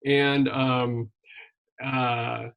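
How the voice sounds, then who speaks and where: An older man from Wisconsin, a retired minister and journalist, telling his life story in a relaxed interview with frequent ums and restarts. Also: medium pitch, extremely slow, gravelly. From Wisconsin, USA. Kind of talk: casual conversational interview.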